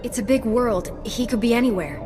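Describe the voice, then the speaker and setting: A woman's voice: a little high, fairly quick, close to an everyday voice. Woman as a composed, resolute Jedi knight. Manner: composed, earnest, firm. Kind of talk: scripted game dialogue. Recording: music behind the voice.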